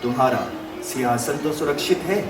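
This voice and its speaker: A man's voice: medium pitch, moderate pace, close to an everyday voice. An actor playing a stern, reproachful great sage in a mythological drama, commanding and pointed in his oratory. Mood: scornful, accusing. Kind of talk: theatrical Hindi mythological audio drama. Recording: roomy distant playback with music behind.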